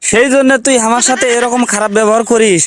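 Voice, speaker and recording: Cartoon husband , male actor: exasperated and pleading, North Bengal accent, high register, noisy track. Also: steady tone, fast talker. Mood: furious. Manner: complaining, rhetorical questioning. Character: exasperated, pleading village husband who turns on his wife. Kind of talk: comic cartoon dialogue. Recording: clearly noisy recording with clicks.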